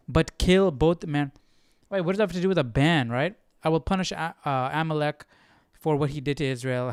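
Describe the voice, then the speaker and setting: A male host with a slightly high, clear and smooth voice talks casually on a study stream. He reads aloud and thinks through questions as he goes, recorded close and dry. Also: dry recording. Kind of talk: casual live commentary, reading aloud.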